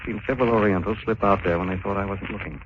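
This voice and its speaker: An actor playing a suave, resolute gentleman crime-fighter in a 1940s radio drama, with clipped, polished speech. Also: on the low side, steady tone, fast, natural voice. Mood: low, confidential. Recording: tinny, noisy 1940s radio recording.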